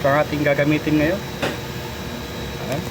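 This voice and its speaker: Filipino man vlogging over clear background noise. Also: a little high, steady tone, moderate pace. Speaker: motorcycle vlogger. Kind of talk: casual vlog chat to followers. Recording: noisy vlog audio with traffic ambience.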